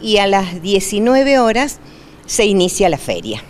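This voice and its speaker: A woman in her sixties with an Argentine accent, speaking deliberately in a local news interview as a neighbourhood representative, repeating words for emphasis. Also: medium pitch, big pitch swings, a little hiss or hum. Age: 60s. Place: indoors in a community hall. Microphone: handheld interview microphone.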